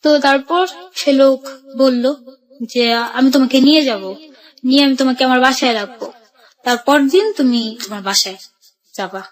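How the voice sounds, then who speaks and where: A woman's voice: sits high, normal speed, pauses often, poor audio. A woman with a Dhaka Bangladeshi accent telling her life story as a radio guest, colloquially, re-enacting dialogue as she goes. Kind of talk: personal life-story narration.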